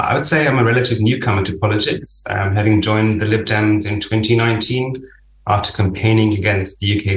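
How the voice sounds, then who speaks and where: A man from Chile raised in Germany, a local election candidate giving an earnest prepared statement with a few restarts. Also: on the low side. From born in Chile, raised in Germany. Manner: earnest, slightly halting with restarts. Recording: roomy, distant-mic recording.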